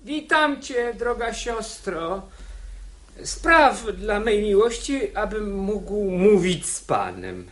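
An actor playing an unctuous, pedantic old court bailiff in a radio play, speaking verse with oily, officious politeness. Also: male voice, high-pitched, big pitch swings, slow, a bit bright, full character voice. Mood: oily courtesy. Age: older. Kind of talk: theatrical radio drama acting in verse.

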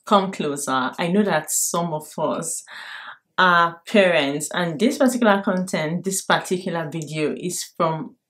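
Young Nigerian female vlogger, low-pitched, direct and emphatic, talking casually to camera from her bedroom. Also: unhurried, a bit bright. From Lagos, Nigeria. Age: young adult. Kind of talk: casual advice talk to camera. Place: bedroom at home.

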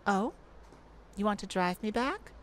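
A woman's voice: medium pitch, big pitch swings, unhurried, slight background noise. A woman playing a playful, shyly romantic lady thief in an ASMR audio roleplay, with a teasing, hesitant manner. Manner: teasing, hesitant, breaking into soft laughs. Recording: music behind the voice.

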